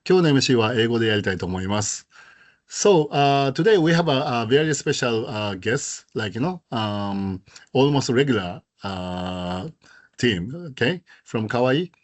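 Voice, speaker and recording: Halting Japanese-accented man hosting. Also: medium pitch, expressive. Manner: halting, filler-heavy speech. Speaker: host. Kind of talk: hosting an online presentation meeting.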